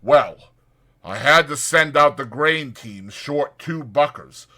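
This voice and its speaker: Man voicing a stocky stern boss, businesslike, curt, bright hard tone, audiobook character. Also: a little high, lively intonation, takes it slowly, pitched above the performer's own voice, full character voice. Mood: annoyed, brusque. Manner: brusque, businesslike. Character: stern, stocky little male ranch boss.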